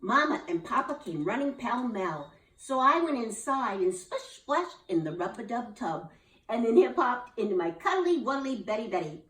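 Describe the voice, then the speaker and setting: A woman's voice: on the high side, very animated, moderate pace, a bit bright, distant mic, pitched above the performer's own voice. A woman voicing a chirpy young schoolgirl with a breathless, sing-song manner. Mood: nervous. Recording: roomy, from off the mic.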